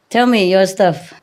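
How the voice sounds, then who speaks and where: A woman's voice: mid-range voice, a little mellow. A woman spiritual teacher talking casually to a group, rambling through anecdotes in a chatty, teasing, often chuckling manner. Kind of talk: casual anecdotes and banter with an audience.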